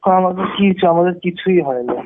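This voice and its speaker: A man's voice: sits high, brisk. A man arguing on a phone call, defensive and dismissive, often retorting with questions. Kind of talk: argumentative phone conversation. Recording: tinny narrowband phone call recording.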